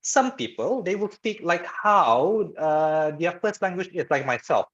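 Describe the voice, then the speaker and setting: A man's voice: sits high, expressive, unhurried, a bit bright. A man from Malaysia with a Malaysian English accent, a guest speaker answering questions in a webinar and often checking in with "okay" and "right". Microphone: video call. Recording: muffled lo-fi video call audio.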